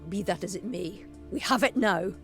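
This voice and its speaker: A woman's voice: mid-range voice, moderate pace, fairly bright tone, faint music behind, close to an everyday voice. A woman playing a grumpy, centuries-old female smith in a fantasy video game, curt and haughty. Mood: wry, evasive.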